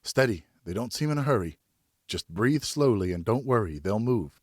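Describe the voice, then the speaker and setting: A man voicing a seasoned hunter and father in an audiobook, steady, reassuring and encouraging as he instructs. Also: lowish voice, moderate pace, no echo, clean studio sound. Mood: calm, steady. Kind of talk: audiobook character acting.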